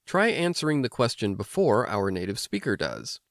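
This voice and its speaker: An American man hosting an instructional language podcast, explaining things clearly like a teacher. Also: lowish voice, wildly swooping pitch, moderate pace, no echo, studio quality. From United States. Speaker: language lesson host and teacher. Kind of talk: instructional podcast narration with personal anecdotes.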